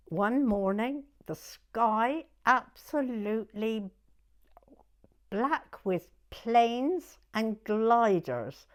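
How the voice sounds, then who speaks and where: Slow-talking elderly southern English woman reminiscing. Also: fairly high pitch, darkish tone. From Dorset, England. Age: 90s. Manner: rambling, often breaking off and rephrasing mid-sentence. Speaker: oral history interviewee. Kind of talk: informal spoken reminiscence.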